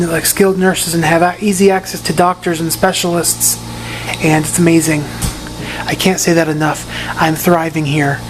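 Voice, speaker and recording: A man with a hoarse, raspy voice giving a casual video update from his room in a rehab facility, often repeating and restarting his words. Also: a little high, rough recording. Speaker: patient giving a recovery update.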